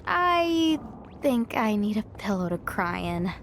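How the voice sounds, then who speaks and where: A woman playing a feisty, sarcastic, easily spooked young sister in an animated game cutscene. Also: mid-range voice, takes it slowly, slight background noise.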